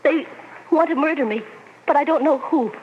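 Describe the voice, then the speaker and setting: An actress playing a high-strung, frightened American wife in 1940s radio melodrama, pleading anxiously. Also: female voice, moderate pace, natural voice. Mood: panicked. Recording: muffled, hissy old radio recording.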